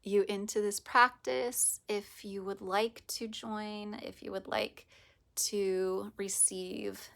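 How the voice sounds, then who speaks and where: A woman with a raspy, hoarse voice after a long day, talking softly and meandering into whispers as she runs a casual spiritual vlog. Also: medium pitch, little pitch movement, takes it slowly. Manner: soft, meandering, often drops to a whisper. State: hoarse from teaching all day. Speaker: reiki practitioner.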